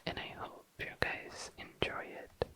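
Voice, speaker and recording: An ASMR host speaking in a breathy, unvoiced whisper, softly commenting on a craft and soothingly repeating words. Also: a little slow. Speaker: ASMR video creator, host. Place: indoors at a desk.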